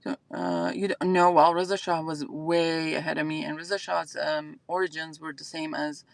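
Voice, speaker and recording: A woman's voice: low-pitched, animated, normal speed, gravelly, no room sound. A woman from Iran with an Iranian accent, whose first language is Azeri, hosting a casual livestream chat and thinking aloud with lots of fillers. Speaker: fragrance channel host.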